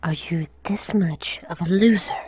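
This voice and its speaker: A woman's voice: lowish voice, unhurried, darkish tone, breathy edge, dry recording. A woman voicing a venomous young female apparition of a dead classmate in an audio drama, sneering and mocking as she talks. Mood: scornful.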